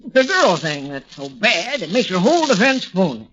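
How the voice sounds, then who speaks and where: Forceful fatherly lawyer, vintage radio. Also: sits high, sing-song, normal speed. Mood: exasperated. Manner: emphatic, paternal, world-weary. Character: exasperated, fatherly defense lawyer, older than client. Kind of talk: 1940s radio drama acting. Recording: dull 1940s radio recording, some noise.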